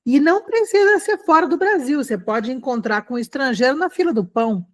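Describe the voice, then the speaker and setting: A woman's voice: high-pitched, brisk. Brazilian woman teaching, encouraging and chatty. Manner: animated, encouraging, chatty with frequent check-in questions. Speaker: online English teacher. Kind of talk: conversational lesson addressed to students.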